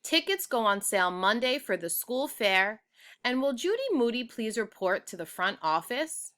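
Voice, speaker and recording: A woman voicing a kindly, official woman principal making encouraging, measured announcements in a children's storybook. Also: fairly high pitch, sing-song, fairly bright tone, voice pushed up. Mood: proud announcing. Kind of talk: children's storybook read aloud.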